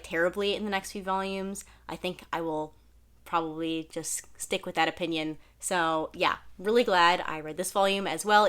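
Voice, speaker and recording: A young woman with a lowish voice that has gone slightly hoarse, as if she is losing it. She chats casually to camera while sitting on the floor at home, hedging often with little fillers and poking fun at herself. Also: pushed and bright. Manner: chatty, self-deprecating, many fillers like "like" and "I don't know". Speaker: manga vlogger. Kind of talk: casual review chat to camera.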